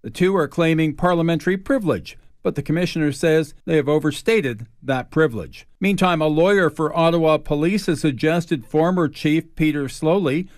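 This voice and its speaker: A man's voice: fairly high pitch, moderate pace, hardly any pauses, dry recording, studio quality. A man reading scripted news as a radio anchor.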